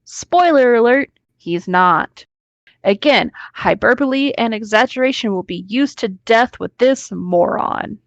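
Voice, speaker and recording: Animated, slow-paced woman with a higher voice. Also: creaky, no echo. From Texas, United States. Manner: theatrical, mocking. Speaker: co-host reading a story aloud. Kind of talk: expressive story reading with casual banter.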